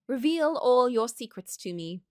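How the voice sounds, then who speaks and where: A woman's voice: on the high side, animated, moderate pace, a little mellow, no echo, clean studio sound, pitched above the performer's own voice, close to an everyday voice. Woman voicing a jealous, obsessive young princess. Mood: scornful, determined. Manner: dramatic, intense declarations.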